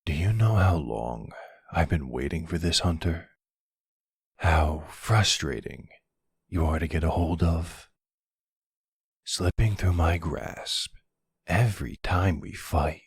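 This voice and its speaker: A man playing a flirty, smug vampire in an intimate ASMR roleplay, taunting and seductive, with a low, gravelly, husky voice. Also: expressive, no room sound, studio quality, heavily acted. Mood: menacing, possessive. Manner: slow, taunting, seductive drawl. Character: flirty, smug male vampire, predatory and teasing.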